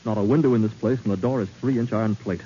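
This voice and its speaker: An actor playing a brave, level-headed young intern, earnest and measured, in 1940s radio drama style. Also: male voice, fairly low pitch, mellow, lightly acted. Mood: calm, resolute. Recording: dull, hissy 1940s radio recording.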